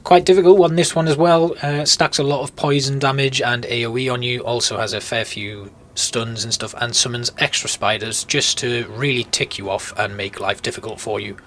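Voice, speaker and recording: A man's voice: medium pitch, steady tone, normal speed, few pauses, fairly bright tone. A man giving conversational, explanatory commentary as a guide through a video game. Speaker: gaming video host, guide. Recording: clear background game noise. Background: game ambience.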